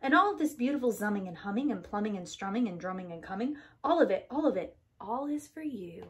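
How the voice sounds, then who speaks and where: A woman's voice: mid-range voice, expressive, fast. A woman preschool teacher reading a rhyming picture book aloud to young children in an emphatic, sing-song rhythm.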